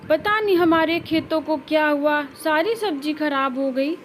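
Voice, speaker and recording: A woman's voice: high voice, measured pace, faint music behind. A woman voicing a worried female bird, a farmer's wife, with plaintive, lamenting delivery in a cartoon story. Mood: worried, helpless.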